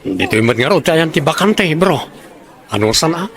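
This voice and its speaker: A man's voice: on the high side, big pitch swings, normal speed, a bit bright, some chatter behind. A man acting an evasive, casual young man in an Ilocano audio drama.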